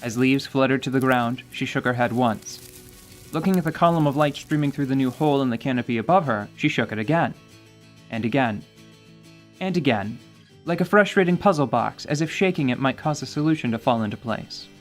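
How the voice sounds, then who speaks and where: Man narrating a fantasy tale over soft music. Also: medium pitch. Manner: measured storytelling delivery. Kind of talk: scripted dramatic narration. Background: light music.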